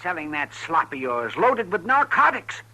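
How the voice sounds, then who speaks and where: Crusty, sharp-voiced frontier doctor. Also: male voice, on the high side, very animated, normal speed, heavily acted, poor audio. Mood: irate, scolding. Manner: blunt, scolding. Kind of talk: 1950s radio western acting.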